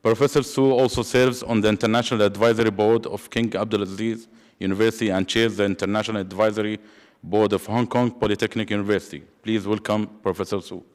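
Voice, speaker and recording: A man's voice: fairly low pitch, fast talker. Formal man chairing a panel. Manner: formal, steady, read from a script. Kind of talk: formal prepared remarks. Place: large conference hall. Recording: reverberant conference hall.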